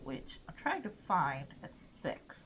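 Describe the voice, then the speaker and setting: A woman's voice: sits low, big pitch swings, measured pace, a bit bright, a little hiss or hum. A woman livestreamer talking casually and playfully, often swearing, as she hosts a game stream. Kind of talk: casual livestream chat with some game text read aloud.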